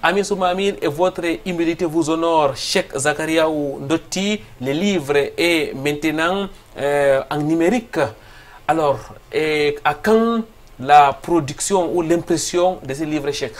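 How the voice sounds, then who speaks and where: A male host from Cameroon interviewing a religious guest with formal, respectful questions. His voice sits a bit higher than most men's and builds emphasis as he lists the guest's work. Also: unhurried.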